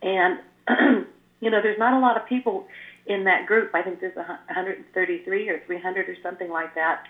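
A woman's voice: mid-range voice, fairly even intonation, fairly quick. An older woman from California, a spiritual guest talking earnestly in flowing explanations, her voice a little scratchy after the flu. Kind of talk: casual chat. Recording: tinny phone-like line, echoey room.

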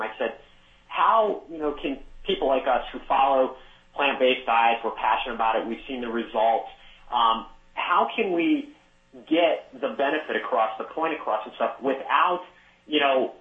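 A man hosting an interview talks haltingly, restarting and repeating his words. His voice comes through a narrow, phone-like line with a roomy echo around it. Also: mid-range voice. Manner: halting, repeats words and fills with "you know". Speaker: podcast host. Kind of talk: conversational interview.

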